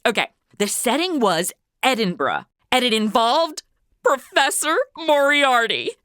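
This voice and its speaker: A woman's voice: on the high side, sing-song, a little slow, a bit bright, dry recording, studio quality. An actress playing a brash, carefree young woman in a comedy audio drama, slangy and bossy. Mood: impatient.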